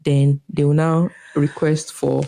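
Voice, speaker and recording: A Nigerian woman co-hosting a podcast, telling stories in a lively, casual way with an accent from Nigeria and big jumps in pitch when she quotes people. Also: a little slow, vocal fry. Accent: Nigerian English. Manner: animated storyteller, pitch jumps when quoting. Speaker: podcast co-host. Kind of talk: casual chat.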